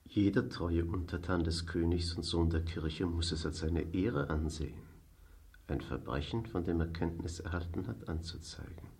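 Solemn scheming churchman, lowish formal voice, echoey radio drama. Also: moderate pace, gravelly, darkish tone. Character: solemn, insinuating pious male conspirator. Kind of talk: German radio play acting. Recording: echoey room.

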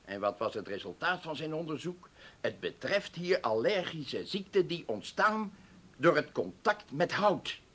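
Chatty, eager hospital patient, lightly high-voiced. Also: male voice, sing-song, measured pace, bright, forceful tone, heavily acted. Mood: eager, excited. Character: talkative, eager male hospital patient, a party comrade. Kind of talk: radio drama acting.

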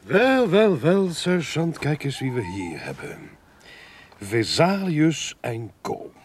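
A man's voice: a little high, big pitch swings, slightly rough, heavily acted. An actor playing a smug, sarcastic police inspector in a radio play, mocking and condescending as he speaks. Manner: mocking, condescending, deliberate taunting. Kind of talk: Dutch-language radio play acting.

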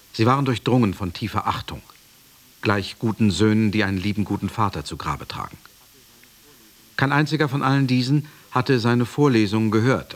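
An actor playing a sober companion who narrates a literary radio play in a grave, measured way. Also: male voice, on the low side. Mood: solemn. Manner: measured, grave, hushed at phrase ends. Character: sober male companion recounting a master's death.

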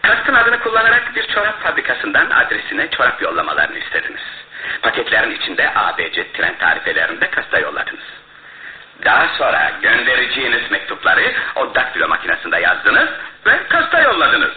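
An actor in a radio play as a shrewd, self-assured male detective who explains his case in a theatrical, deliberate way. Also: mid-range voice, huge pitch swings. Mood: accusing, methodical. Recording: muffled, roomy radio drama recording.

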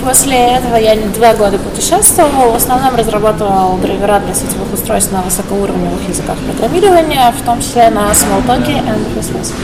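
A Russian woman working as a consecutive interpreter, speaking in a halting way as she searches for words. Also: medium pitch. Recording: loud vehicle-like ambient noise.

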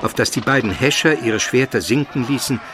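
A man's voice: medium pitch, brisk, noisy background, natural voice. A man playing an educated Byzantine minister who narrates his memories solemnly and vividly in a radio play. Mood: gripped, dramatic. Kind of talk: dramatic scripted first-person narration, radio play.

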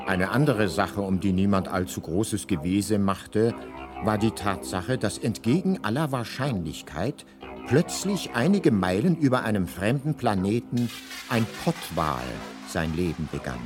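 A man playing the wry, measured narrator in a radio drama, reading scripted story passages. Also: fairly low pitch, lively intonation, music in the background.

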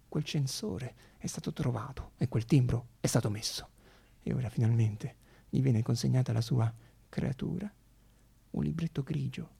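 A man narrating a theatrical radio monologue as an actor, with dramatic pauses and repeated phrases. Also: medium pitch, gravelly, mellow, breathy edge.

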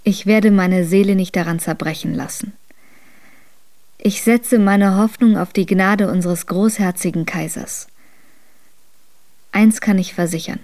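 Slow, earnest, devoted noble wife, played by an actress. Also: female voice, mid-range voice, fairly even intonation, a little mellow, lightly acted. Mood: earnest, resolute. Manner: earnest, deliberate, solemn. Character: devoted, resolute young noble wife reading her letter. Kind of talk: radio drama letter reading.

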